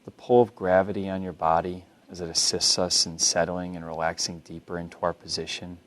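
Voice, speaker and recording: Deep-voiced man guiding meditation. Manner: calm, unhurried, gently instructive. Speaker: mindfulness meditation instructor. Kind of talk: guided body scan meditation.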